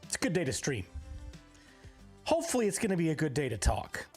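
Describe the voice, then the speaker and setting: A live game streamer in casual chat with viewers, a bit hoarse from recovering from illness, upbeat and greeting people by name one after another. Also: medium pitch, huge pitch swings, brisk, faint music behind.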